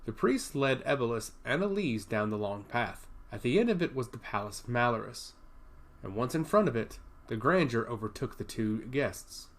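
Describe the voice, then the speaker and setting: A man's voice: medium pitch, expressive, moderate pace. A man reading a scripted fantasy story aloud as its narrator.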